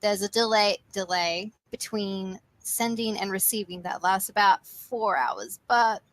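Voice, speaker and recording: A woman's voice: medium pitch, steady tone, measured pace, dry recording, natural voice, low-quality recording. A woman playing a big, friendly, anxious young man who rambles hesitantly through video messages in an amateur fan fiction reading. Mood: awkward, friendly. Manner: rambling, hesitant, stumbling casual delivery.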